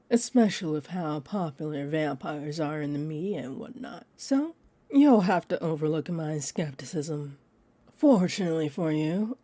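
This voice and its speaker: A woman's voice: deep voice, mellow, no room sound. An actress playing a teasing, possessive female vampire in an audio roleplay, condescending and confident, speaking straight to the listener. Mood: polite, sly. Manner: smug, taunting, unhurried.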